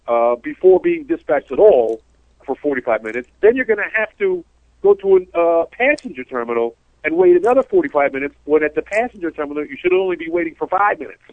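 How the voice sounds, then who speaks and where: A man's voice: on the high side, no room sound. A man, co-hosting a casual talk show, ranting in a rambling, worked-up way about his job as a cab driver. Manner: ranting, animated, rambling complaints. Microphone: phone call. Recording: tinny phone line, dry.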